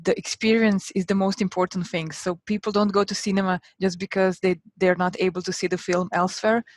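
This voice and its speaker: A woman moderating a video call sums up and thanks a speaker. Her tone is appreciative and her voice sits at middle pitch. The sound is dry and close, with no room echo. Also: flat delivery, fairly quick. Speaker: event moderator. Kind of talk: moderating an online panel. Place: indoor room. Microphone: laptop mic on a video call.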